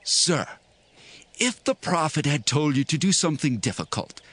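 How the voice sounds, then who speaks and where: Respectful servant, highish lively voice, pleading and reasonable, scripture audio drama. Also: male voice, normal speed. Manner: pleading, deferential. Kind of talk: dramatized audio Bible acting.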